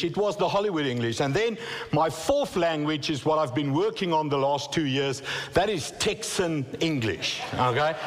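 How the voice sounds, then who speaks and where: South African pastor preaching with humor. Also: male voice, fairly high pitch. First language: Afrikaans. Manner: humorous, self-deprecating storytelling. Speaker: guest pastor. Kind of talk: sermon. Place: church. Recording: long reverb in a church hall.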